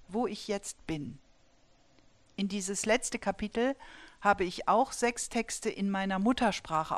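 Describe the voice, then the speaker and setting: A woman in her fifties, from the border country between the Saarland and the Palatinate, presents her new poetry collection and then reads from it. She speaks slowly and deliberately and gives every line its weight. Her voice sits at an ordinary middle pitch. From Saar-Palatinate border region of Germany. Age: 50s. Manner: measured, deliberate recitation. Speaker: poet and dramaturge. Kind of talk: author presenting and reading her own poetry.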